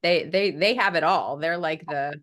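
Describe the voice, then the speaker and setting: A woman's voice: sits low, moderate pace, fairly bright tone. A woman co-hosting a podcast, bubbly and gushing, with lots of fillers. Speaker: podcast co-host. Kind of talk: casual podcast chat.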